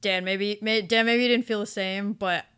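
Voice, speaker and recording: A woman's voice: mid-range voice, steady tone, normal speed, a bit bright, clear, resonant tone. A woman co-hosting a podcast, talking casually and emphatically as she gives enthusiastic reviews. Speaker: podcast co-host reviewing anime.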